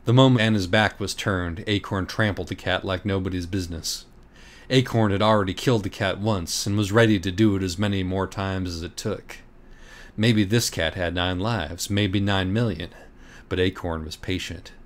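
A man's voice: sits low, fairly even intonation, moderate pace. A man reading scripted comic narration as an audiobook reader, in a mock-dramatic, scornful, profane manner.

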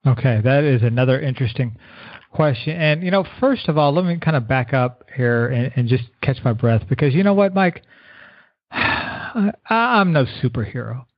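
A middle-aged man, a keynote speaker guest giving earnest motivational advice, repeating words and slowing down to stress his points. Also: mid-range voice, lively intonation, no room sound. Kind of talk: motivational interview answers. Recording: dull lo-fi radio sound.

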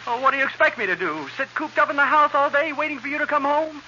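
An actor playing a fussy, jealous househusband in 1930s radio comedy, plaintive and theatrical. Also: male voice, very high-pitched, fast, pushed and bright, full character voice. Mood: jealous, indignant. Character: fussy, jealous, nagging househusband in a role-reversal sketch. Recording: dull, hissy 1930s radio recording.

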